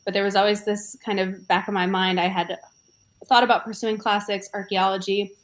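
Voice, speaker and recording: A woman's voice: medium pitch, little pitch movement, a little fast. A woman in her thirties from Ohio with an American accent, a former teacher now a student, speaking upbeat on a video call. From Ohio, United States. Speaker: student panelist.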